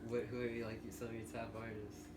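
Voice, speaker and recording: A man's voice: medium pitch, monotone, a little fast. A man hosting a podcast, asking questions in a laid-back, friendly way. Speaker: podcast host. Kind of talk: casual podcast interview. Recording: roomy, distant mic with noise.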